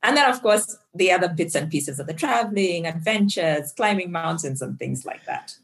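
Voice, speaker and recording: A woman's voice: lowish voice, moderate pace. A woman from Zambia, a guest on an interview podcast, talking casually and upbeat with frequent laughter. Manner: upbeat, laughs often, animated when storytelling. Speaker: podcast guest, tech executive and fitness coach.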